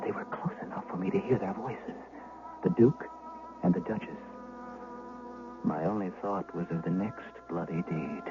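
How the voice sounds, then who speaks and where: A man's voice: low voice, moderate pace, long pauses, music in the background. An actor playing a frightened, servile young shepherd boy in an old-time radio drama, anxious and haunted. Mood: haunted, dread. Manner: stammering, hesitant when questioned. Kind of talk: old-time radio drama acting, narrating his own tale. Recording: muffled vintage 1970s radio broadcast.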